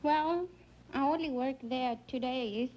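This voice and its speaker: A young woman from Greece with a Greek accent, speaking halting, broken English as a quiz show contestant. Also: high voice, darkish tone. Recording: dull 1950s broadcast with faint noise.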